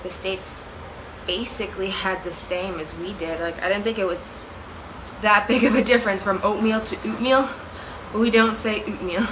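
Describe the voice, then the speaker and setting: A young Canadian-accented woman vlogs casually from home with a mid-range voice. She rambles, laughs at herself and keeps restarting her thoughts. A loud fan hum runs under everything. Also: mid-range voice. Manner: chatty, rambling, self-correcting, giggly. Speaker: vlogger. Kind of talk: casual chat to camera. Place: room at home with a fan running. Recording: steady fan noise behind.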